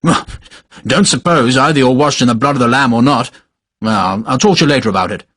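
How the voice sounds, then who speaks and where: A man voicing a short, pious older cavalry major who speaks gruffly and brusquely in clipped commands. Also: medium pitch, brisk, a bit bright, dry recording, clean studio sound, natural voice. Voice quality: gruff, brusque, barking laugh. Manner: clipped commands, fervent and impatient. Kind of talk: audiobook character voice.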